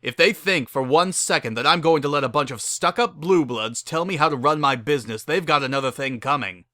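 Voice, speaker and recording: A narrator performs a hot-headed storybook pony, blunt and stubborn. The delivery is gruff and forceful at an ordinary mid pitch, in a dry, close sound. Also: a little fast, dry recording, close to an everyday voice. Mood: defiant.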